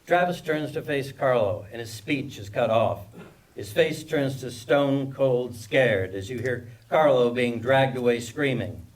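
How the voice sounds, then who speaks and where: A man's voice: mid-range voice, unhurried. A man reading screenplay stage directions as scripted narration at a live table read. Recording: distant microphone at live reading.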